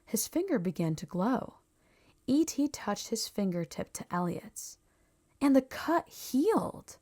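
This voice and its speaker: A woman reading a children's storybook aloud in a measured, expressive storytelling manner. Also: on the high side. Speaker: storybook reader. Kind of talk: scripted children's story narration.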